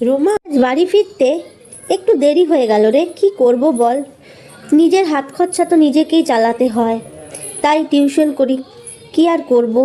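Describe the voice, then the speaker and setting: A woman's voice: high voice, normal speed, dark tone, close to an everyday voice, poor audio. A woman voicing an anxious young college student from a village, fretting and exclaiming to herself in a storybook tale. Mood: apologetic. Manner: fretful, exclaiming, talking to herself.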